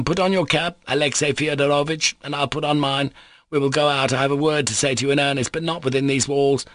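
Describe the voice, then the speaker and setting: A male narrator voices a ruined old-story army captain, a father who mocks himself. He talks in a raised, agitated pitch, fawning and theatrical, with a dry sound around him. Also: fairly bright tone, dry recording, lightly acted. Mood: bitterly effusive.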